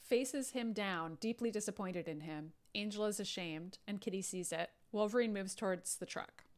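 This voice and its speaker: A woman's voice: mid-range voice, normal speed. A woman host reading screenplay stage directions aloud, with casual asides. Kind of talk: script narration with casual asides.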